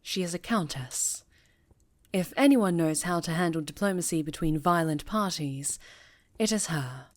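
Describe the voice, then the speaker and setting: A woman playing a stern, commanding witch who leads a coven, speaking formally and with measured authority in an ASMR fantasy roleplay. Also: lowish voice, lightly acted. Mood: grave, measured. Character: stern, commanding female high enchantress leading a witch coven.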